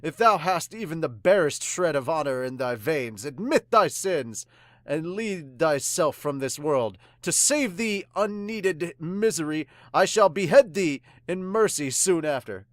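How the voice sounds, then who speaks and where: A haughty magistrate, acted by a woman, stern and pompous in archaic phrasing. He speaks slowly and deliberately for a manga dub, with a dry sound. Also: low-pitched, animated, no echo. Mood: stern, scornful. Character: haughty male magistrate overseeing execution, archaic speech.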